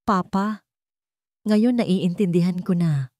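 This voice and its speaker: A woman's voice: on the low side, takes it slowly, dark tone, no room sound, clean studio sound. A woman voicing a scheming, melodramatic young woman making tearful, accusing outbursts in an audio drama.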